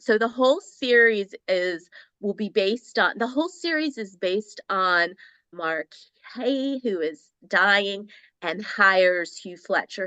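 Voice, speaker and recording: Woman in her fifties, romance author guest, bright tone, dry close sound, enthusiastic and chatty. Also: on the low side, dry recording. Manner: enthusiastic, chatty, laughs often, restarts sentences. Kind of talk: casual interview chat.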